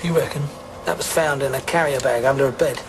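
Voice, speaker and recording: An actor with an English accent playing a middle-aged, steady police detective who asks plain, probing questions in an audio drama. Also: male voice, mid-range voice, quick pace, background noise, close to an everyday voice. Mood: matter-of-fact. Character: seasoned, steady police detective sergeant, a family man. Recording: faint background ambience.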